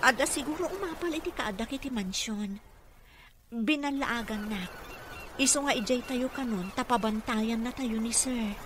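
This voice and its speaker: A woman's voice: fairly high pitch, normal speed, lightly acted. An actress playing a dutiful, worried young mother who works as a caregiver, in an Ilocano-accented radio drama. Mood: earnest. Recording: noisy radio drama with ambience.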